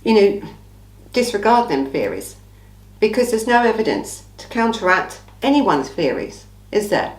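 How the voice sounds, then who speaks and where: A woman from England hosting a casual true crime Q&A, her voice croaky from a cold, talking in a blunt, chatty, opinionated way. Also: medium pitch, sing-song, measured pace, reverberant, far from the mic, rough recording. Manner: chatty, blunt, opinionated, says "listen" often. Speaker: true crime channel host. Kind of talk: casual Q&A monologue.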